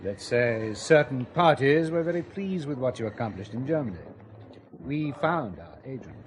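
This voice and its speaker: Man acting a department agent, medium pitch, lively intonation, formal, coaxing, faint noise behind, audio drama. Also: normal speed, lightly acted. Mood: smooth, coaxing. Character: smooth, evasive spy department go-between.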